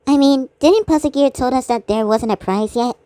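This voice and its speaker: A woman doing amateur voice acting of conversational dialogue for a fan-made animated cartoon. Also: high voice, moderate pace, dry recording.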